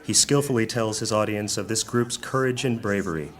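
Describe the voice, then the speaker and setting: Male narrator for fantasy audio drama, played by a man: fairly deep, solemn, formal, measured storytelling. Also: a bit bright, lightly acted. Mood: grave.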